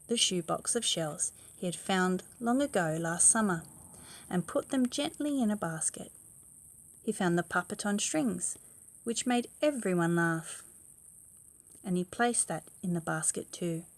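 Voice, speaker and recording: A woman's voice: lowish voice, expressive, measured pace. A woman reading a picture book aloud as a bedtime story, stressing words expressively and putting questions to the young listener.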